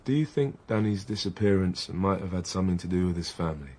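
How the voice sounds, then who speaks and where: A young police officer in a radio drama. His voice is low and husky, and he speaks with dry, patient understatement and informal ease. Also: lively intonation, fast, a little mellow. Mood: businesslike. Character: wry, patient police officer, once a bullied schoolboy. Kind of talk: naturalistic radio drama acting.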